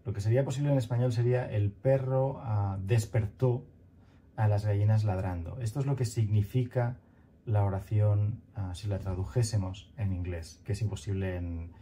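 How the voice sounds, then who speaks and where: A man in his thirties giving a recorded grammar lecture over slides, talking in a thoughtful, halting way with many fillers and restarts, from a small room at home on a headset. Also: fairly low pitch, steady tone, warm tone, slight background noise. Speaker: grammar teacher.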